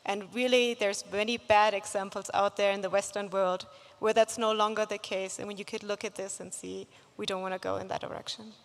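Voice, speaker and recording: Woman professor, panelist, mid pitch, measured delivery, large hall echo. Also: level tone. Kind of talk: panel discussion. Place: conference hall. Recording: reverberant conference hall.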